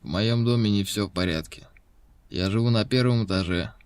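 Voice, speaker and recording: An actor playing a suspicious seventy-six-year-old pensioner who writes to the police, with a strained voice, in a scripted lesson recording. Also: male voice, lowish voice, brisk, clear, resonant tone, no room sound, natural voice.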